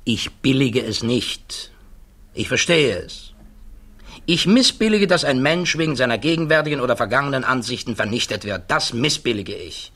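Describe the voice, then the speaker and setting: A male physicist facing interrogation in a 1960s German radio play. His voice is mid-pitched and somewhat husky. He chooses words with formal precision and holds to his principles with quiet defiance. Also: lively intonation. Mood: quietly defiant. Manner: deliberate, precise, formally articulate.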